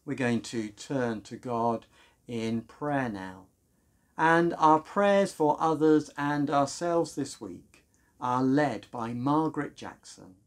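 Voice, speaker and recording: A man's voice: medium pitch, takes it slowly. A middle-aged man speaking as a minister, introducing part of a church service from a room at home. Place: small room at home, indoors.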